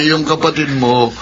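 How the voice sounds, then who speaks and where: A man with a Filipino accent playing a lecherous, quick-tempered gang leader in a radio drama, taunting and threatening. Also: a little high, full character voice. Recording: dull lo-fi radio sound, loud noise.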